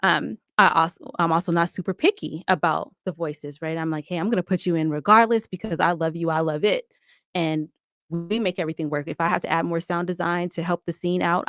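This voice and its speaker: Woman, slightly low register, podcast guest. Emphatic, engaged, explanatory delivery; very dry recording. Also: fairly even intonation, quick pace, a bit bright, no room sound. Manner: enthusiastic, emphatic, explanatory, often checks with "right?". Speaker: podcast guest, audio drama producer. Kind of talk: conversational interview talk.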